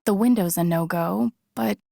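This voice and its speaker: An actress voicing a wry, caring young woman in a video game, muttering to herself in a soft, understated, dryly musing way. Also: mid-range voice, moderate pace, gravelly, no room sound, clean studio sound. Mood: focused musing. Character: young woman, wry, caring, thinking aloud while searching. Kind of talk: video game voice acting, muttered self-talk while exploring.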